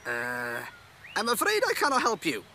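A man's voice: sits high, wildly swooping pitch, moderate pace, bright, forceful tone, heavily acted. A British man voicing a daft, cheeky small round creature doing chirpy comedy banter in a video game. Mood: mock-innocent.

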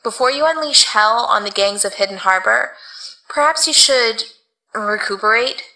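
An actress playing a loyal, practical assistant who speaks earnestly and asks questions. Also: female voice, a little high, moderate pace, bright, forceful tone, low-quality recording. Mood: urging. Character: loyal young woman assistant, practical and caring. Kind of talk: radio drama acting.

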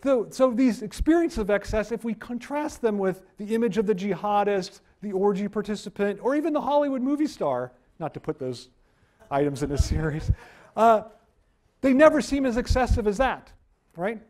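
A middle-aged man giving a university lecture, animated and self-deprecating, losing his voice, recorded by a camera at a distance in a wood-paneled room. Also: high voice, normal speed.

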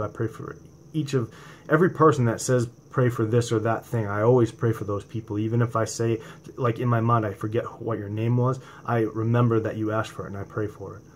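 A man in his forties with a New England accent, preaching informally to his viewers from home and talking into a webcam, swinging from impassioned, rising emphasis to a quieter conversational tone. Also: on the low side, fairly even intonation, brisk, slight background noise. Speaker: religious video host. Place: home room indoors at night. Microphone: webcam or laptop camera from below.

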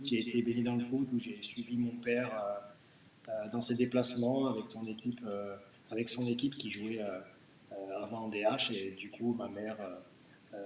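A man's voice: mid-range voice, darkish tone. A man in his thirties talks casually over a video call, stopping and restarting his sentences with lots of fillers. He sounds a bit far from the mic in a roomy, echoing space, and faint noise sits under his voice. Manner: hesitant, many fillers and restarts. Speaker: guest footballer. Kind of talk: casual interview answers. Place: small room with bare wall. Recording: echoey room, distant mic, faint noise.

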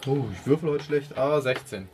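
A man's voice: mid-range voice, normal speed, darkish tone, soft background music. A man chatting casually as a player in a tabletop roleplaying game. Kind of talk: casual in-character chat.

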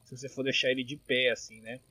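Brazilian man chatting over clear background noise. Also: mid-range voice, flat delivery, fast talker, pushed and bright. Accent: Brazilian Portuguese. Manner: chatty, slangy, frequently tagging phrases with "né". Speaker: YouTuber commentating over a partner's video. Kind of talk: casual unscripted commentary.

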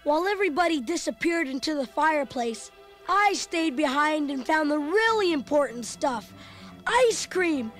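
An actor voicing an excitable young boy who narrates a storybook read-along in an earnest, dramatic manner. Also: high voice, normal speed, soft background music. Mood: eager storytelling.

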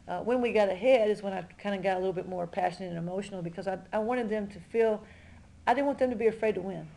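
Mellow middle-aged woman coach, conversational. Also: medium pitch, fast, vocal fry, poor audio. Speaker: college basketball head coach. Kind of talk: postgame press conference remarks. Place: indoor press room in front of a backdrop.

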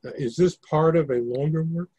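A man's voice: fairly high pitch, moderate pace, dark tone, breathy edge. A man asking questions hesitantly in a casual video call discussion. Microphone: video call microphone.

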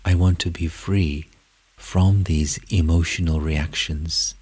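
Super low breathy guy doing a guided meditation, flat and unhurried, little phrases with long pauses.

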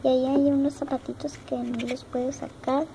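A woman's voice: high-pitched, flat delivery, moderate pace, noisy background. Chatty Argentine girl on a phone. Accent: Argentine Spanish. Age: child. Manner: chatty, repeats words, childlike diminutives. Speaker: young vlogger showing her dollhouse. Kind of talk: casual show-and-tell narration. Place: home room with wooden floor, indoors. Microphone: phone camera held in hand.

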